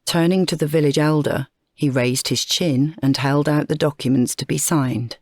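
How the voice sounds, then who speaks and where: Female story narrator, low register, scripted dramatic prose, close dry recording. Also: fairly even intonation, normal speed, a little mellow, dry recording.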